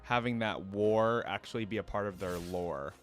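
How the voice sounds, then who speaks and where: A man's voice: on the low side, normal speed. A man giving casual reaction commentary as the host of a video.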